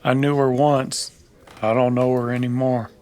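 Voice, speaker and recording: A man playing a curt, bitter, world-weary Old West gunslinger in radio drama style, speaking in a slow, somber, measured way. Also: mid-range voice, flat delivery, darkish tone, natural voice. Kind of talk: old-time radio western acting.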